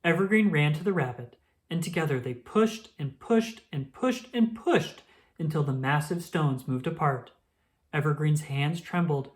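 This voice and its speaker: A woman reading a children's story aloud as scripted narration, in an expressive storytelling style. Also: low voice, a little slow.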